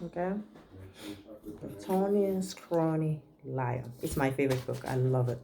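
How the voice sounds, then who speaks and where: A woman's voice: rumbling low, a little slow, vocal fry, warm tone, slight background noise. A woman reading a storybook aloud to young children like a teacher, with simple asides to them.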